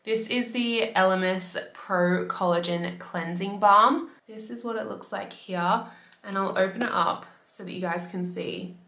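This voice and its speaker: A woman in her twenties from Australia, chatting casually to camera as a beauty vlogger, her voice slightly raspy from a cold. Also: medium pitch, unhurried, sharp tone, echoey room. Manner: chatty, upbeat, fillers like "like". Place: bedroom by a window.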